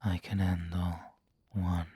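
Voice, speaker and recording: A man playing a refined, doting, possessive vampire lord in an ASMR roleplay, breathy and whispery, with a genteel, coaxing manner. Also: low voice, level tone, dark tone, heavily acted. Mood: gentle, refined. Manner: slow, genteel, coaxing endearments.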